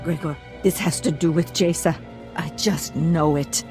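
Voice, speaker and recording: A woman playing a worried, embittered mother and castle servant in a video game, speaking gravely and with anxious emphasis. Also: low voice, steady tone, a little slow, darkish tone, soft background music. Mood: dread. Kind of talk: video game dramatic acting.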